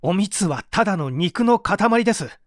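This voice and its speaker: A man's voice: high-pitched, animated, normal speed, no room sound, clean studio sound. A man playing an evasive, subdued painter who is under interrogation, hesitant and confessional, in a dramatised mystery reading. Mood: bitter, scornful.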